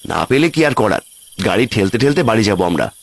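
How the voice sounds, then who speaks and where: A man playing an excitable city office worker on a village trip in a cartoon ghost story. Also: on the low side, a little fast, gravelly, exaggerated voice. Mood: exasperated. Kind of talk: cartoon horror story voice acting.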